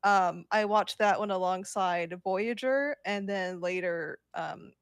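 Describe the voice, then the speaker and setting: A woman with a medium-pitched voice talks casually on a fan podcast as one of the panelists. The sound is very dry, with no room on it. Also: takes it slowly, smooth, no room sound.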